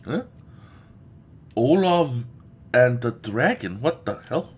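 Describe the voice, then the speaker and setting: Guy doing a casual let's play, slow but super animated, exclaims and swears a lot. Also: mid-range voice, slightly rough. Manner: exclamatory, often swearing, drawn-out words. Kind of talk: casual gameplay commentary.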